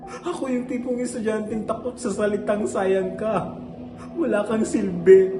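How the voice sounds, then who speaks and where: A man's voice: high voice, lightly acted. A young man playing a frightened, overworked male student who earnestly pleads to be heard in a student stage play. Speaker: student character. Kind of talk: amateur stage acting. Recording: echoey room with loud background music.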